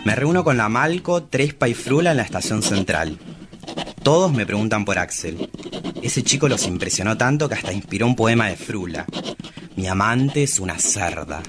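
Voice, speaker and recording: A man's voice: on the low side, animated, moderate pace, music in the background, close to an everyday voice. A man with an Argentine accent playing a flamboyant, lovestruck, motherly cabaret performer, confessional and poetic, in a dramatized comic reading. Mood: wistful, devoted. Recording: clearly noisy room recording.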